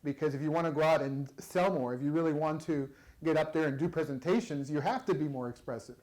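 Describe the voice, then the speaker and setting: A man's voice: on the high side, quick pace, a little mellow, rough recording. A man giving a conversational workshop talk to an audience, chatty and repeating words as he goes. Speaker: presenter.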